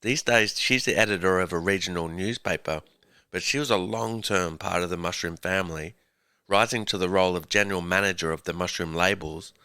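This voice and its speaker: A man narrating a podcast in a clear, measured, scripted way. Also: low voice, sharp tone, no echo, studio quality. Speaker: podcast host.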